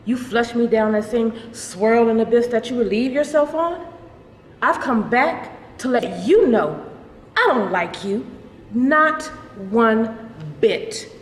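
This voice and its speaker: A woman performing a dramatic monologue as the bitter, resentful ghost of a pet goldfish, speaking in a theatrical, deliberate, scornful way. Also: a little high. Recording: noisy, echoing hall recording.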